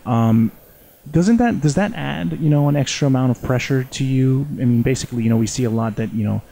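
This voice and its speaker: A man's voice: medium pitch, a little fast, creaky, warm tone, slight background noise. A man interviewing casually over the phone in a rambling way, full of filler phrases.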